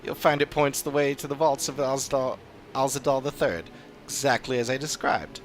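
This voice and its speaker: A man's voice: medium pitch, normal speed, a little hiss or hum, natural voice. A man voicing a sly, smug swindling merchant in a video game, with a slick, knowing manner. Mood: smug, slippery. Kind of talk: scripted video game dialogue. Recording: game music behind voice.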